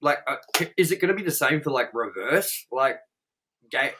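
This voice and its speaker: A man's voice: medium pitch, lively intonation, moderate pace, background noise. A man co-hosting a casual podcast chat. He rambles and circles back on himself, piling on fillers and curse words as he goes. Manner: rambling, filler-heavy, frequent "like" and "you know what I mean". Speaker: podcast co-host. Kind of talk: casual chat, swearing freely.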